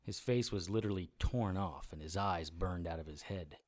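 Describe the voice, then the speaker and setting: A man playing a supernatural detective who narrates his grim adventure in measured, hardboiled audio drama style. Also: deep voice, darkish tone, dry recording, clean studio sound, close to an everyday voice. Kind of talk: first-person scripted audio drama narration.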